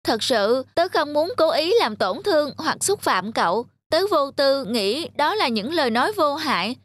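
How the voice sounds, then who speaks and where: A woman's voice: sits high, big pitch swings, normal speed. A woman voicing an outspoken, naive little village schoolboy in cartoon dialogue. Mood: remorseful. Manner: blunt, argumentative.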